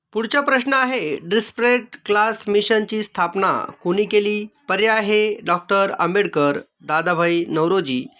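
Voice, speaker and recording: A man speaks in a notably high, bright and crisp-edged voice. He works as a quiz teacher, reading questions, options and answers aloud. His delivery is measured and follows a set formula. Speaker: exam-prep quiz teacher.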